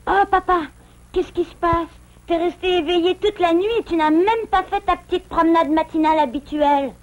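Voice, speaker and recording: A small boy beaver for a cartoon. The voice is very high and childlike, with an earnest, wide-eyed, excitable delivery. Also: fairly quick, muffled recording. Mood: curious. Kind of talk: French cartoon dub.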